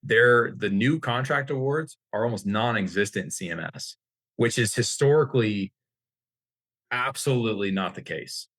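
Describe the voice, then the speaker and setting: A man's voice: mid-range voice, animated, normal speed, fairly bright tone, no echo. An American man speaking as an expert guest in an informal discussion, wordy and often rephrasing himself mid-sentence. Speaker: interview guest, healthcare industry executive.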